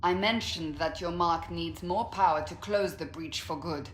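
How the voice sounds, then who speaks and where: An actress playing a stern, dutiful warrior woman in a video game cutscene, speaking in a firm, formal, measured way. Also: on the low side, natural voice. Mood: grave, resolute. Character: stern, dutiful warrior woman leading a fantasy war council. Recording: roomy echo, noisy ambience.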